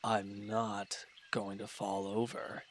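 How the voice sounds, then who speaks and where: A man playing a wounded, irritable villain in an audio roleplay, gruff and curt but grudgingly caring, with an exasperated, grumbling delivery. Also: on the low side, flat delivery, takes it slowly, a little hiss or hum. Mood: pained, exasperated. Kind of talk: scripted audio roleplay acting.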